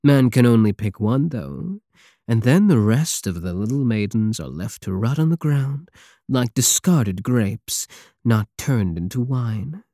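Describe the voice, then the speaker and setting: A man's voice: mid-range voice, lively intonation, dark tone, dry recording, clean studio sound. A man playing a big, teasing, possessive space pirate king in an intimate, sardonic ASMR roleplay. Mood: intense, possessive. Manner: slow, teasing, purring endearments, sardonic.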